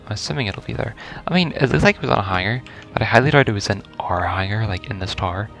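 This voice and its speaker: Low-pitched man commentating on a game. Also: expressive, quick pace, vocal fry, fairly bright tone, soft background music. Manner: rambling, thinks aloud. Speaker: gaming video host. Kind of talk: casual first-reaction game commentary.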